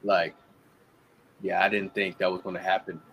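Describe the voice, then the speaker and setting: A young man as a guest, chatting casually and acting out the lines he quotes in lively voices. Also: low voice, normal speed, creaky, slight background noise. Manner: animated storyteller, acts out quotes. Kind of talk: casual interview talk. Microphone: video call.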